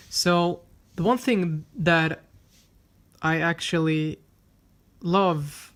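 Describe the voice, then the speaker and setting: A man whose first language is Swedish, reviewing a tablet in a casual, unhurried way with frequent fillers and restarts while demonstrating it. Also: fairly high pitch. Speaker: tech reviewer. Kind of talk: casual product review with live demo.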